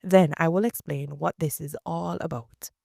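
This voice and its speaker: A woman's voice: sits low, steady tone, moderate pace, creaky, darkish tone, no room sound, studio quality, full character voice. Resolute queen, read by a woman. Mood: earnest. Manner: earnest quoted speech within a reading.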